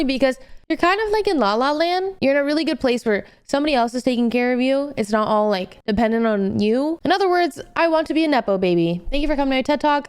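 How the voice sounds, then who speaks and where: A young woman in her late twenties with a high, very clear and smooth voice. She chats informally like a livestreamer thinking out loud, using slang and leaning on words for emphasis. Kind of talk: casual rambling chat to stream viewers.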